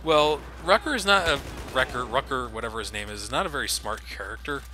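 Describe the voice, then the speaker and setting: A man's voice: medium pitch, fast talker, bright, forceful tone. Dude mocking a shooter game as he plays, loose and sweary, noisy mic hiss. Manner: sardonic, swears casually, laughs often. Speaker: let's play commentator. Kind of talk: casual gameplay commentary. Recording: noisy home recording.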